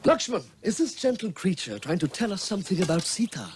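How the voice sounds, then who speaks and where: A man voicing a noble, passionate prince in an animated epic, earnest and declamatory, with music behind. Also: high voice, a little fast. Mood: subdued sorrow. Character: noble heroic prince, passionate, grieving husband. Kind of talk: theatrical animated character acting.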